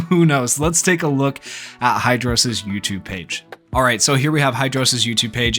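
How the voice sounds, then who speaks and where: A man with a mid-pitched voice delivers gaming news in the casual style of a YouTuber. He talks informally and speculatively, rambling through his thoughts as he goes. Music plays behind his voice. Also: a bit bright. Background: background music.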